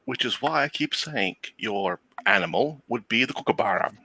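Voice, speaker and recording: A man's voice: medium pitch, animated, moderate pace, vocal fry, pushed and bright, slight background noise, poor audio. A man from Europe bantering on a stream in a sardonic, teasing way.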